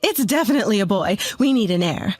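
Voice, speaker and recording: Storytime role: scornful, pushy middle-aged mother-in-law voiced by a woman, lifted pitch, dismissive and needling. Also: very animated, moderate pace, a little mellow, dry recording. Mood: insistent.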